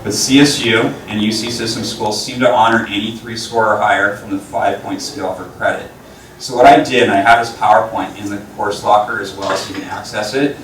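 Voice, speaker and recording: A male teacher explains things to parents in a relaxed, informal way, with a low voice. He is picked up from across an echoey room with a faint hiss. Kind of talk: addressing parents at a school open evening. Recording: echoey classroom from far off.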